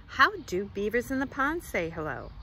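A middle-aged woman reads a picture book aloud to children outdoors, with expressive, deliberate phrasing. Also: mid-range voice, a little hiss or hum. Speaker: children's librarian, storytime reader. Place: outdoors in a leafy garden.

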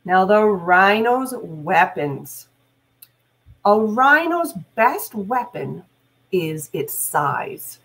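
A middle-aged woman reads aloud as a teacher would to young children. She takes her time, speaking deliberately with very animated intonation. Her medium-pitched voice carries explanatory asides and questions to her listeners. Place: small room at home, indoors.

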